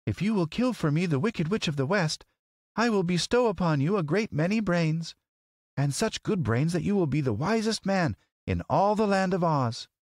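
Man putting on a very high, regal fairy lady Oz. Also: lively intonation, normal speed, no echo, studio quality. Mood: regal, sweet. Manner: regal, lofty. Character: lovely winged lady wizard, sweet yet haughty. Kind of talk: storybook character voice.

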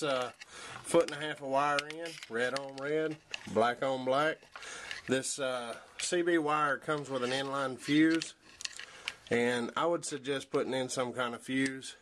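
A man's voice: medium pitch, a bit bright. A young man giving a casual, plain-spoken hands-on tutorial in a matter-of-fact way, recorded on a camera's own mic. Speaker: host of a DIY preparedness channel. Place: outdoors in a yard and in a wooden workshop. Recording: noisy handheld camera audio.

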